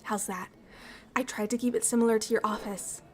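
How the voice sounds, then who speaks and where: Casting: woman as friendly alien diplomat for ASMR roleplay, somewhat high voice, bubbly, talkative, fond and eager to please. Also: fairly quick, faint music behind, lightly acted. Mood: friendly, caring. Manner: bubbly, chatty, apologetic, excitable. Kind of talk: ASMR roleplay, chatty and intimate.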